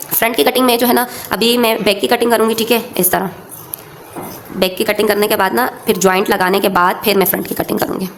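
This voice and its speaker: A woman's voice: mid-range voice, steady tone, a little fast. Casting: female craft tutorial host, mid-range voice, sore throat, conversational step-by-step delivery, noisy recording with clicks. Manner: conversational, addresses viewers with frequent check-in tags. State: sore throat, frequent throat clearing.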